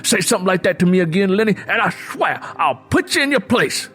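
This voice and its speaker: Man performing a proud young farmer, hot-tempered, combative, folksy, high-pitched for a man, audiobook drama, music behind. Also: sing-song, fairly quick, a bit bright. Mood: angry, bitter. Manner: combative, folksy rural speech.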